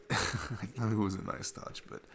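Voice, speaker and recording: A man playing a shy, sweet single dad smitten with his babysitter, speaking gently and haltingly in a romantic ASMR roleplay. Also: fairly low pitch, fairly even intonation, a little hiss or hum. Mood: sheepish, tender.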